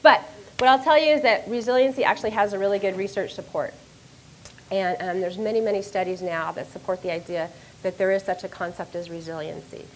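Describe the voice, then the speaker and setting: A middle-aged woman, a university professor, giving an animated, self-deprecating lecture to an audience on a microphone in a lecture room. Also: mid-range voice, a little fast, a little hiss or hum, poor audio. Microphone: handheld or stand microphone.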